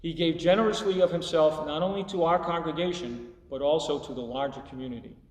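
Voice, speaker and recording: Echoey, higher-pitched man reading a church tribute. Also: a little fast. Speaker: church service reader. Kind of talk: scripted tribute reading to a congregation. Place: large church hall. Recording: echoey hall, distant microphone, long reverb.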